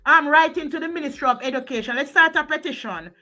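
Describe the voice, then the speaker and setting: A Jamaican woman on a talk show panel, with a high, bright voice. She argues persuasively and shifts easily between patois and standard English. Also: fast. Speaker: resident guest panelist. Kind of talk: lively panel discussion.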